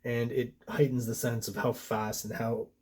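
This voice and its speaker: A man's voice: medium pitch, flat delivery, moderate pace, a little mellow, husky. Casual French-Canadian man reviewing comics. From Quebec. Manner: rambling, many fillers and asides. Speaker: host and comic artist.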